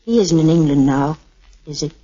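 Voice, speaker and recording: A woman's voice: sits low, expressive, moderate pace, warm tone, slightly rough. A woman playing a devoted, tender sweetheart bravely holding back sorrow, in 1940s radio drama style. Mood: guarded. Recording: muffled vintage 1940s radio recording.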